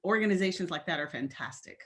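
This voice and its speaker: A woman with a lowish voice hosting a podcast. She sounds enthusiastic and welcoming, with a few fillers as she sets up her questions. She records remotely over a call. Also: animated, quick pace, fairly bright tone. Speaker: podcast host. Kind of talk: podcast interview.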